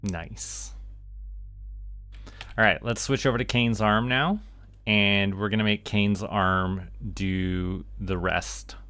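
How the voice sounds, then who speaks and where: A man's voice: lowish voice, fairly even intonation, slow pace, bright, forceful tone, clear, resonant tone, no echo. A Canadian man doing casual gameplay commentary as a streamer, thinking aloud and talking himself through each step.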